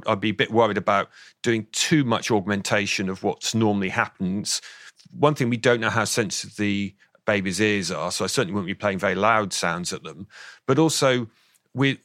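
A man in his fifties with a slightly croaky voice, explaining thoughtfully as an interview guest, often restarting his sentences. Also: lowish voice, fairly bright tone, no echo, studio quality. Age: 50s. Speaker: author and guest. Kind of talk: interview on voice science.

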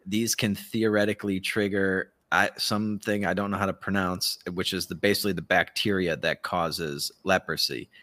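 A man's voice: sits low, fairly even intonation, normal speed, hardly any pauses, pushed and bright. A man co-hosting a casual live podcast, talking in a mocking, sarcastic way and often chuckling as he speaks. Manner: swears casually. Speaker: podcast co-host.

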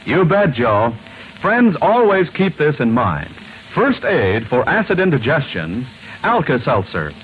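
A man's voice: a little high, huge pitch swings, a little slow, narrow, tinny audio. A man reading a scripted old-time radio advertisement as an announcer, with emphatic, persuasive delivery. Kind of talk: scripted 1940s-style radio ad read. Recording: thin, faintly noisy vintage radio recording.

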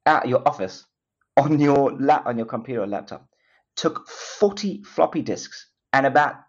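Middle-aged South African exec, loose rambly interview talk, lo-fi audio. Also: male voice, medium pitch, expressive, moderate pace. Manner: rambling, many restarts and fillers, laughs easily. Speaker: company co-founder and CEO, guest. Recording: dull lo-fi sound.

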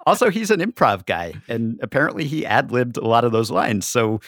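Guy guesting on a podcast, chatting casually, really lively pitch, no room echo. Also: fairly quick, studio quality. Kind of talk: casual film and game discussion.

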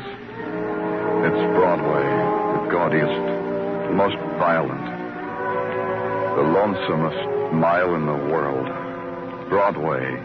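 An actor playing a world-weary, brooding police detective in an old noir radio drama, with a terse, hardboiled manner. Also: male voice, very deep voice. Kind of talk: 1950s radio noir acting and narration. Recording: muffled old radio, loud music.

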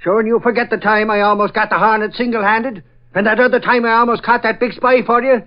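An actor playing an excitable, bumbling Irish newspaperman in an old radio drama, talking in a folksy way and repeating himself for emphasis. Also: high-pitched, quick pace, close to an everyday voice. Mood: grumbling, boastful. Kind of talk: 1940s radio acting. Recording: muffled vintage radio recording.

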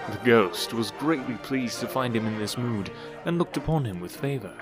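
A male narrator tells a storybook tale with theatrical relish and wide swings of intonation. His voice sits at a middle pitch, with music clearly playing behind. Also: lively intonation, normal speed. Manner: theatrical, amused. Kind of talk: expressive scripted storybook narration.

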